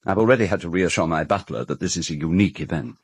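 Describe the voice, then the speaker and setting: An actor playing a cultured, wry, middle-aged British gentleman collector in a radio drama, urbane and fastidious. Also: sits low, breathy edge, no room sound, studio quality. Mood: amused.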